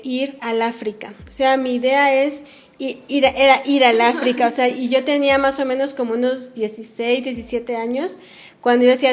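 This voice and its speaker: Guatemalan woman recounting her missionary path. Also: sits high. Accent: Guatemalan Spanish. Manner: earnest, frequent fillers like "este" and "eh". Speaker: missionary guest. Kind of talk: interview answers.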